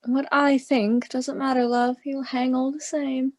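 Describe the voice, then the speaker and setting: A woman playing a hard, sceptical female prisoner in a radio play, speaking in a measured, blunt, questioning way. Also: high-pitched, little pitch movement. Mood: cool, dry. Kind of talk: theatrical radio play dialogue.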